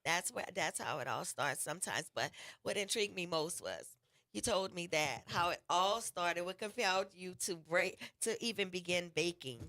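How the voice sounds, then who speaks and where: A woman hosting a radio cooking show, loud and laughing often, her voice a little gravelly from losing it. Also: fairly low pitch, expressive, normal speed. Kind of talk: casual radio talk show chat.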